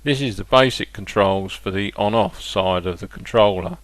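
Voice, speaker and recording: A man narrating a hobby product demonstration step by step, in a plain-spoken, deliberate way with hesitant pauses. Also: fairly low pitch, dry recording. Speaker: hobbyist presenter demonstrating a model train radio control.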